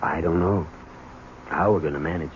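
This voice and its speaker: An actor playing a troubled, desperate husband in a 1970s radio drama, halting and emotional. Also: male voice, sits low, lo-fi sound, lightly acted. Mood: weary, resigned. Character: troubled, desperate husband and small business owner, bitter toward his father. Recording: thin, noisy old radio broadcast.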